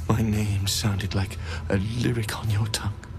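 An actor playing a betrayed young man held prisoner in a video game, hushed, halting and accusing. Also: lowish voice, level tone, noisy background. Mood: hurt, accusing. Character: betrayed, wounded young male prisoner, bitter and haunted.

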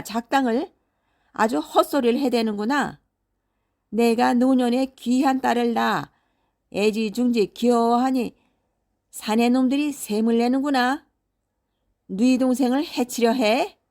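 An irate old father from a folktale audiobook, acted out by a woman, pitched well up and pushed louder. He rants in wailing exclamations, bitterly scolding his grown sons. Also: measured pace, pauses often. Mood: furious, scolding. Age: older. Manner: ranting, lamenting exclamations. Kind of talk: storybook character voice.

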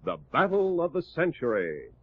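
A male announcer reads a scripted introduction in old-time radio style. His voice is a little high and lively. The old broadcast is thin and tinny, with loud music. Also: normal speed, gravelly.

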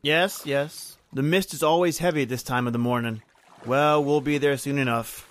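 A man's voice: medium pitch, moderate pace, fairly bright tone, close to an everyday voice. A man playing a stoic, evasive boatman in an old-time radio horror drama, speaking tersely and repeating himself. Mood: cryptic, evasive.

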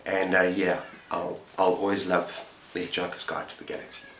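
A man's voice: deep voice, level tone, normal speed, fairly bright tone. A middle-aged man chatting casually as the host of a book-review vlog. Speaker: booktuber host. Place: indoor room lined with bookshelves. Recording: roomy, echoey indoor sound.

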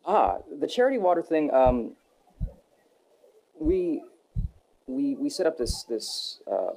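A young adult man answering interview questions in a relaxed, rambling way, with many fillers and restarts. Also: medium pitch, slow pace, creaky, poor audio. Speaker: voice actor guest. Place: Texas.

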